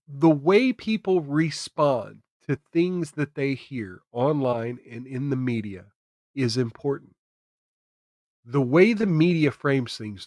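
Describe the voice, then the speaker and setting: A man's voice: mid-range voice, no echo, clean studio sound. An American man hosting a political commentary stream, speaking emphatically and repeating phrases for effect. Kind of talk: opinionated political commentary to camera.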